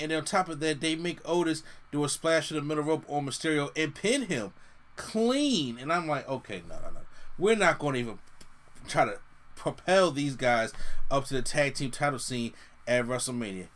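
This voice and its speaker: A man giving a casual, loose wrestling show review, talking in restarts and paraphrasing what wrestlers said. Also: fairly high pitch. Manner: animated, loose, with many restarts and quoted paraphrases.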